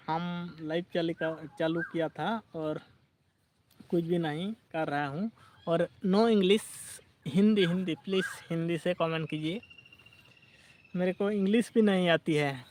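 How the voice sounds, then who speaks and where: A man's voice: a little high, a little mellow. A man hosting a casual livestream, chatting, reading out viewer comments and laughing often. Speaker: livestream host.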